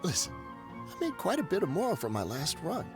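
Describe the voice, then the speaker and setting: Brief: an evasive, wheedling merchant, pitch swinging wildly, game music clearly under it. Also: wildly swooping pitch, fairly quick. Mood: pleading. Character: shifty, pleading desert delivery trader. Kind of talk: video game voice acting.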